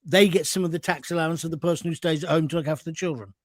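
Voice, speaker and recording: A man's voice: a little high, fairly even intonation, racing, no room sound, clean studio sound. A middle-aged man with an English accent hosting a political interview in a blunt, jokey, challenging way. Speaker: interviewer, host.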